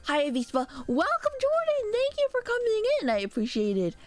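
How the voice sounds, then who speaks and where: A young adult woman streaming live, chatting excitedly with viewers and thanking them by name, speaking through a sore throat. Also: very high-pitched, brisk, dry recording. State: sore throat, a little sick. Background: faint background music.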